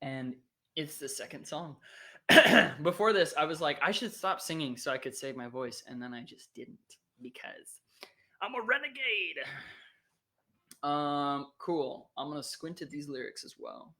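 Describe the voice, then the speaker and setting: A worship leader chatting casually between songs, rambling with many fillers, voice wearing out from singing. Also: medium pitch. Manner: rambling, relaxed, with many fillers and quick asides.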